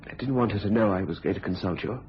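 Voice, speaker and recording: An actor playing a frightened, anguished husband who fears for his sanity in a radio thriller, with a halting, overwrought delivery. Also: sits low, slight background noise, lightly acted. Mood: troubled, confessing. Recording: dull lo-fi radio recording.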